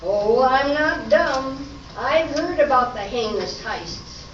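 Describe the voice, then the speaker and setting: A woman playing a plucky, matter-of-fact homeowner in a comic amateur radio play, reciting deliberately. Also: sits high, lively intonation, a bit bright, full character voice. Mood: plucky, proud. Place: hall at a live arts festival performance. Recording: echoey, distant, noisy dull live recording.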